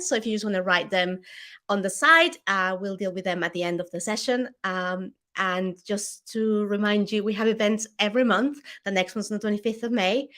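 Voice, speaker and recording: Woman, event host, bright tense voice, brisk friendly announcements, video call. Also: medium pitch. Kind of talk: introducing a speaker.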